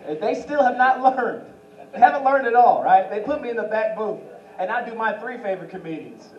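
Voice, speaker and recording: A man's voice: high-pitched, expressive, a little fast, lo-fi sound. A young man doing stand-up at an open mic on a small club stage, into a handheld mic, who slips into impressions of famous voices. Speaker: stand-up comedian. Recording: roomy club audio from a far camera. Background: audience laughter.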